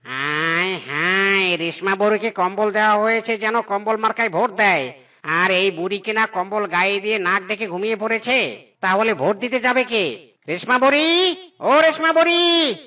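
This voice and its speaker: High, bright, excitable cartoon monkey, played by a man. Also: moderate pace, distant mic. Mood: dismayed, fretful. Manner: chanting, wailing, exclamatory. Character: excitable monkey campaign helper, loyal and fretful. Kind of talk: comic cartoon dialogue.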